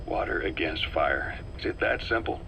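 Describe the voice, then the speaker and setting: A wary military operative from a video game. His voice is low with a firm, bright edge, and he lays out information in a grave, measured way. Faint noise sits behind the recording. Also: expressive, vocal fry, full character voice. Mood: probing. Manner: measured, serious, expository. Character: grim older male military intelligence operative, wary and measured. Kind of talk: scripted video game radio briefing. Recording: noisy cassette tape with vehicle rumble.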